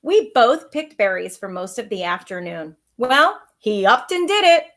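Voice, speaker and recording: A woman's voice: fairly high pitch, big pitch swings, unhurried, fairly bright tone. Woman teacher reading a storybook aloud. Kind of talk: expressive children's storybook narration. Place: at home.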